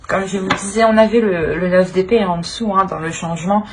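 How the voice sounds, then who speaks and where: A woman giving an informal tarot reading, speaking haltingly as she searches for words and often clears her throat. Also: medium pitch, fairly even intonation, background noise. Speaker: tarot reader.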